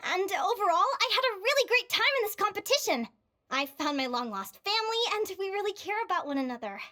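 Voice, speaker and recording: A woman playing a plucky, optimistic young adventurer in a video game, bubbly, heartfelt and expressive. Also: very high voice, brisk, full character voice. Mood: sincere, upbeat. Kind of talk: scripted video game dialogue.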